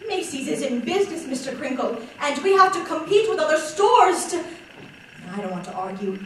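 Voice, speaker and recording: A young woman playing a brisk, polite but firm 1940s store manager in a radio-style stage drama. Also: sits high, sing-song, a bit bright. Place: stage in a large echoing hall. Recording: echoey hall from far off, faint noise.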